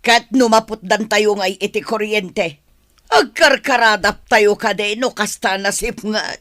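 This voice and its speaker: Bright-voiced nagging older mother played by an actress. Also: mid-range voice, unhurried, no echo, clean studio sound, natural voice. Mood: scolding. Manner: scolding, complaining. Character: nagging older mother, exasperated, worried about money. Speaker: actor in an audio drama. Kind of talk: dramatised dialogue in a serial love story.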